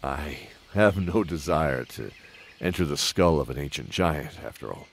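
A man's voice: very deep voice, expressive, unhurried, gravelly, husky, heavily acted. A man playing a kindly, weary old knight who introduces an audio drama in a hesitant, musing way. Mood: weary, content.

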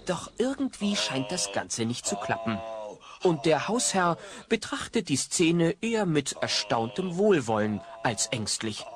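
A man's voice: a little high, big pitch swings, a little slow. A man narrating a German children's audio play as a scripted storyteller.

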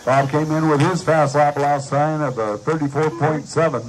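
A man's voice: on the high side, steady tone, moderate pace. A man calling a live race as the announcer at an outdoor dirt track. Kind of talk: live race commentary over a PA. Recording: noisy outdoor track recording.

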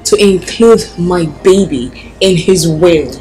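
A woman's voice: on the low side, slow, dark tone, faint music behind, rough recording. An actress playing a pregnant woman who gushes and boasts. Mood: gushing. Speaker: pregnant woman in a palace drama.

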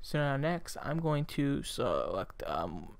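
A young man casually narrating a software tutorial as its host, close on a desktop microphone. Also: medium pitch, big pitch swings, slow, vocal fry, clean tone, no echo. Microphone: close USB desktop microphone.

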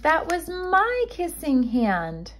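A woman's voice: very high-pitched, huge pitch swings, takes it slowly, slight background noise, voice pushed up, full character voice. A woman voicing a sad, anxious little boy raccoon from a children's storybook, asking in a plaintive, childlike way. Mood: sad, hurt. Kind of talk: children's storybook read-aloud.

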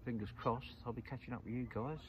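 A man's voice: mid-range voice, steady tone, quick pace. A middle-aged man chatting casually to camera by a lake, repeating little phrases. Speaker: angler vlogger. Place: outdoors by a lake at night and dawn. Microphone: clip-on lapel mic. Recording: lo-fi outdoor recording with clear background noise.

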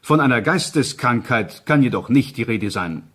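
A man in a crime radio play reading as a grave reporter, formal and deliberate. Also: mid-range voice, animated, a bit bright. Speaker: narrator. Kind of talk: scripted documentary crime radio-drama reading.

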